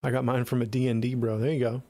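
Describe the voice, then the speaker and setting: Male livestreamer, middle-aged, American accent. Gravelly, casual and slangy, recorded dry. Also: mid-range voice, flat delivery, quick pace, dark tone, dry recording. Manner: laid-back, slangy, swearing. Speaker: music production livestreamer. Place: small home studio room, indoors.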